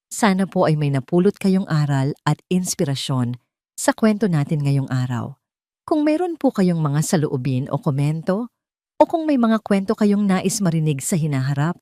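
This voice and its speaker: A woman's voice: low voice, a little mellow, no room sound, studio quality. A woman narrating a scripted story in an earnest, sentimental manner. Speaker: storyteller and host of a story channel.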